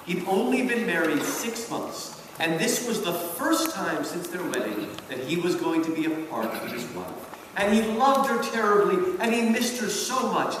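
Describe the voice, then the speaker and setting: An American man from New Jersey giving a public lecture with humorous stories, in a theatrical, emphatic manner. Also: high voice. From New Jersey, United States. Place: large reverberant event hall. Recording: echoey hall from far off, noisy.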